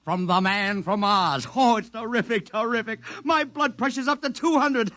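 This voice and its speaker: An American actor playing a brash, scheming publicity agent in 1950s radio drama, with wheedling city-slang sales patter. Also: male voice, high voice, moderate pace, pushed and bright, no room sound. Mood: elated, boastful.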